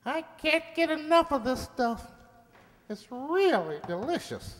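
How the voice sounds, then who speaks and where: A woman's voice: high voice, very animated, unhurried, slight background noise. A woman playing a brash, tipsy male talking mouse out for a good time in a radio play. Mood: tipsy, delighted. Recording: reverberant hall, stage-like echo.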